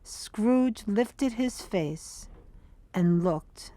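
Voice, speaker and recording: Slow, deliberate woman storyteller. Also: mid-range voice, lively intonation, mellow. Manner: deliberate, measured. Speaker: narrator. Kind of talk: scripted storybook narration for audio drama.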